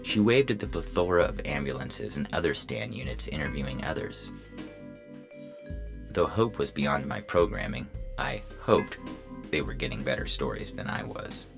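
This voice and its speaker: A man performs a robot police officer narrating a noir detective tale. The voice is extremely low and gravelly, with deadpan, clipped delivery. Music plays clearly behind it. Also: moderate pace, full character voice.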